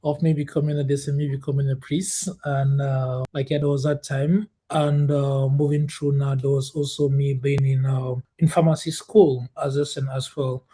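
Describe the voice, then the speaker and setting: A man in his thirties from Cameroon, a guest telling his life story in a relaxed interview, full of fillers and restarts. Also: mid-range voice, normal speed, few pauses. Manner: hesitant, many fillers and restarts, laughs often.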